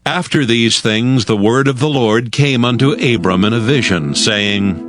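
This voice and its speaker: A man narrating scripture from a script in a solemn, measured manner. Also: medium pitch, fairly bright tone, no room sound, clean studio sound, faint music behind.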